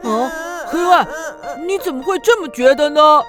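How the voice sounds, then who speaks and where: Kindly, high-voiced sun. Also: female voice, moderate pace, over music. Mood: caring. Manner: gentle, encouraging, expressive. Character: kindly, reassuring grown-up sun, caring friend. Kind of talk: children's radio drama acting.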